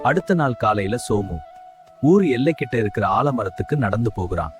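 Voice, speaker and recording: A man's voice: fairly low pitch, big pitch swings, a little fast, music in the background. A man reading a scripted moral story as narrator.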